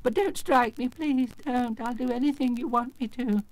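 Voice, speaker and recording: A man's voice: high-pitched, expressive, moderate pace, a little mellow, no room sound, voice pushed up, rough recording. A man playing a timid, flustered little old fraud of a wizard in a storybook tale, with a trembling, stammering voice. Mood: frightened, pleading. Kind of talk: storybook character acting.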